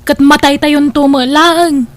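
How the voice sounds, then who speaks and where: A woman plays a village elder sister with a high voice and an Ilocano accent. She is nagging and skeptical, quick to disapprove, in radio drama dialogue. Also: fairly even intonation, dry recording. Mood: defensive.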